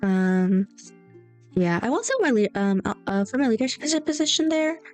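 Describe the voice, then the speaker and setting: A woman's voice: fairly high pitch, expressive, moderate pace, darkish tone. Rambling young woman streamer. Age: young adult. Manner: rambling, chatty storytelling. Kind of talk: casual chat while drawing. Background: faint background music.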